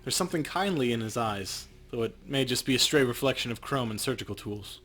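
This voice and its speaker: A man's voice: medium pitch, animated, a little fast, a bit bright. A man with a mid-range voice narrates a video game's text for a playthrough. He slips easily into casual commentary, and faint music plays behind him. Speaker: let's play co-host. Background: game music.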